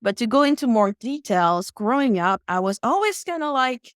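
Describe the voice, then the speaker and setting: A young woman from West Africa, a podcast guest, talking about her background in a calm, reflective, unhurried way. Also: fairly high pitch, smooth, no echo, studio quality. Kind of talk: casual interview talk.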